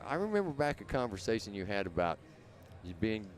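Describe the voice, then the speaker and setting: An older man from Indiana with a low voice, chatting as a guest on a live show and telling rambling stories full of restarts. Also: sing-song, a little fast. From Indiana, USA. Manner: warm, rambling storyteller with many restarts. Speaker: guest and longtime viewer. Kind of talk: casual chat. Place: lounge in a casino resort, live show.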